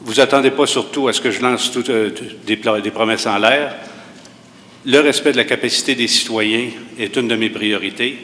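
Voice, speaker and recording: Bright-voiced hesitant man in a hall. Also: mid-range voice. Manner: measured, hesitant, many filler pauses. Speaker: municipal candidate. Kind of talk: debate opening statement. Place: university hall. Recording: reverberant hall with faint noise.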